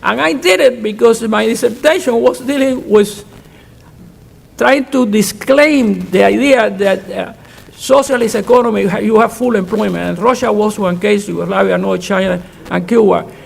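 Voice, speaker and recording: Gravelly high-voiced older man, emphatic. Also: normal speed, a little hiss or hum. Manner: emphatic, frank, repeats words when restarting. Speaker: conference panelist, economist. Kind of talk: answering audience questions at an academic panel. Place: conference hall. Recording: reverberant hall.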